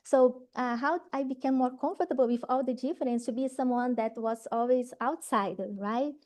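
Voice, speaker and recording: Brazilian-accented woman, non-native english, halting talk full of fillers, mellow, a bit high. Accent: Brazilian Portuguese. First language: Portuguese. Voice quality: low voice. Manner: hesitant, many fillers and restarts, frequent "right?". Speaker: researcher speaking to trainees. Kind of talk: informal talk at an event.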